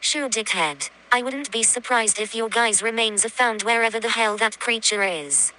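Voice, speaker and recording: A combative, sarcastic woman in an audio drama, played by an amateur actress. Her voice is fairly high and sharp, and she swears freely. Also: moderate pace, poor audio. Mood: irritated. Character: cynical, hot-tempered, foul-mouthed woman refusing danger.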